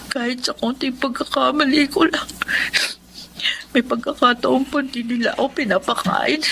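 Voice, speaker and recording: An actress with a Filipino accent playing a young, mistreated housemaid, timid and pleading, in an emotional radio drama. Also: female voice, high voice, fairly bright tone, slight background noise. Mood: distressed.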